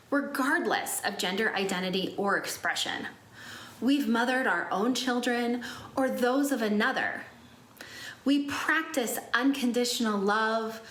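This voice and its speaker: A woman with a fairly high voice delivers a prepared reflection for a church service. She speaks in an inspirational register, recorded in a room with noticeable echo. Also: fairly bright tone.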